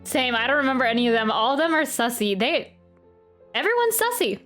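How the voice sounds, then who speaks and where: A woman's voice: sits high, lively intonation, a little fast, fairly bright tone. A woman giving casual, playful live commentary on a game, informal and slangy. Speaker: streamer playing and reacting to a game. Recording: faint game music behind.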